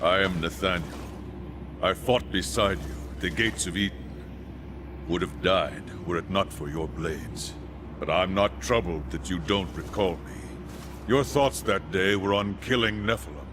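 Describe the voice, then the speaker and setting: An actor playing a loyal angel soldier who speaks in a formal, earnest and courteous way in a video game cutscene. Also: male voice, low-pitched, measured pace, noisy background. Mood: solemn. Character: loyal male angel warrior, solemn soldier.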